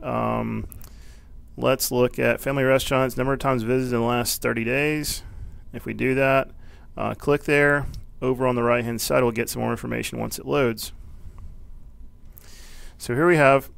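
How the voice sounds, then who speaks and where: A man giving a casual, unscripted software tutorial, explaining step by step and thinking aloud as he goes. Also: medium pitch, no room sound. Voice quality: gravelly, creaky. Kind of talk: informal, unscripted screencast walkthrough.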